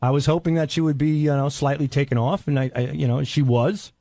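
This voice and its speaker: A man in casual radio banter, talking in a halting way and repeating his words. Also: on the high side, steady tone, mellow. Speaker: radio show comic and song parodist.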